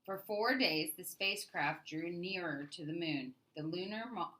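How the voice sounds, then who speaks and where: A woman with a bright, crisp-edged voice that sits a little low reads a children's book aloud. She narrates expressively, phrasing deliberately and leaning on key words as a storyteller would.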